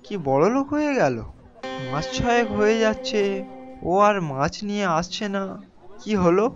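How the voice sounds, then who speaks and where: A man playing a sly, envious village fishmonger in an animated folk tale, chummy on the surface, with a wheedling, theatrical delivery. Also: sits high, normal speed. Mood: suspicious. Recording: dull lo-fi sound, music underneath.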